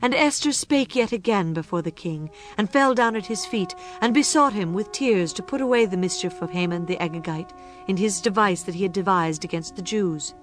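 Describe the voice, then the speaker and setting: A woman's voice: medium pitch, normal speed. Woman narrating scripture over plucked music.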